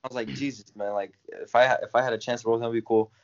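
A young man chats as a guest on a podcast. His voice sits at a middling pitch, and he tells stories loosely with slang and exclamations. The recording is slightly lo-fi. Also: fairly even intonation, rapid-fire. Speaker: podcast guest, jiu-jitsu athlete. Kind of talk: casual chat.

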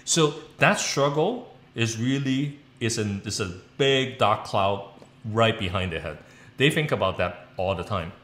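A man's voice: fairly low pitch, expressive, measured pace, a bit bright. Earnest middle-aged man in an echoey room. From Hong Kong. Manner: earnest and rambling, with frequent restarts. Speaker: interview guest. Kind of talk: candid interview answers.

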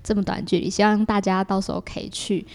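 A woman's voice: mid-range voice, flat delivery, fast talker. A woman with a Taiwanese Mandarin accent, a guest artist explaining things in casual conversation.

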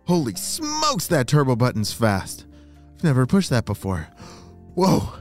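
A man voicing a plucky, excitable young female spy in a children's story, with slangy exclamations. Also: mid-range voice, measured pace, soft background music. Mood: amazed.

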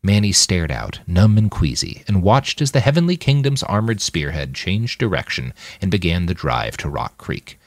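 Deep-voiced man narrating fiction with lively intonation. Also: normal speed, hardly any pauses, no room sound, clean studio sound. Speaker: author reading his own novel on a podcast. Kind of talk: scripted fiction audiobook narration.

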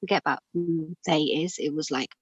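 A woman's voice: deep voice, a little fast, breathy edge, no echo, muffled recording. A middle-aged woman from Pakistan, a guest telling her personal story in an interview, frank and reflective, with many fillers and restarts.

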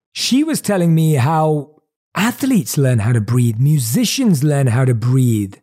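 A man giving a motivational talk, telling a reflective story and slowing down and stretching words to stress them. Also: fairly high pitch, darkish tone. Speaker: host.

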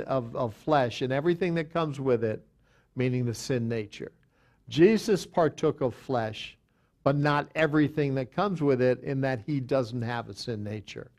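A man's voice: mid-range voice, a little mellow. A man teaching a Bible study as a pastor, talking with frequent restarts and fillers and reading scripture aloud.

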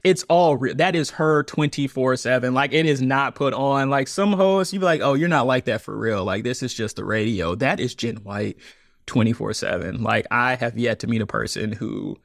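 A man in his thirties, a podcast guest, telling his story in a conversational interview with quick laughs. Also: medium pitch, a bit bright, dry recording, studio quality.

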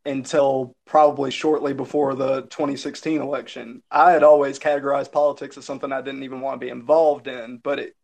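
A man with a Southern American accent, speaking as a guest in a casual podcast conversation. His voice has a mid, ordinary pitch, and he talks in a well-spoken, reflective way. He often stops and restarts his sentences while thinking aloud. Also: level tone, vocal fry, a little mellow. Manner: articulate, thoughtful, with halting restarts.

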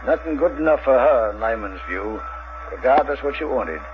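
A man acting a small-town police chief in a seventies radio drama. He talks in a folksy, hesitant rural American way, plainspoken and fair-minded. The recording is muffled and clearly noisy. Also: mid-range voice, lively intonation, close to an everyday voice. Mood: musing, plainspoken. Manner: folksy, slow, hesitant drawl.

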